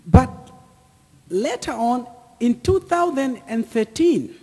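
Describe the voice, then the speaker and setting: A man in his thirties with an East African accent, giving a personal testimony to an audience in deliberate, short repeated phrases. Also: extremely high pitch, sing-song, darkish tone, husky. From Central or East Africa, near Lake Tanganyika. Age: 30s. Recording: echoey hall with long reverb.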